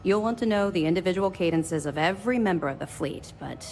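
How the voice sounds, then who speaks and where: A woman's voice: lowish voice, expressive, fairly quick, a little mellow, a little hiss or hum. A woman playing a cautious, dutiful space navy lieutenant, speaking formally and precisely in a scripted video game. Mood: informative. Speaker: military officer character in a space game.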